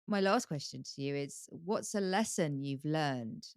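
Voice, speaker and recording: A woman's voice: low-pitched, lively intonation, unhurried, gravelly, clear, resonant tone, no room sound, studio quality. A woman hosting a podcast interview, affirming her guest's answers and asking questions. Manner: warm, affirming, asks questions. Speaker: podcast host.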